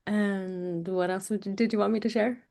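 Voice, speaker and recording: A woman from the United States introducing herself on a group video call, speaking in measured, contemplative phrases. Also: mid-range voice, fairly even intonation, gravelly, warm tone. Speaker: singer and course organizer, guest.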